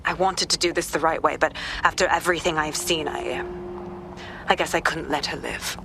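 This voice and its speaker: A weary, principled female investigator in a game cutscene. Her voice is low and serious, with grave determination. There is clear background noise around her. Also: fairly even intonation, normal speed, sharp tone, full character voice. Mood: sombre. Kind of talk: video game cutscene acting.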